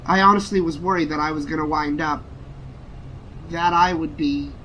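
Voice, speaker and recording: An actor playing a grieving young former combat medic in an audio drama, speaking in an emotional, confiding way. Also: male voice, fairly high pitch, fairly even intonation, moderate pace, pushed and bright, a little hiss or hum, poor audio. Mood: hesitant confession.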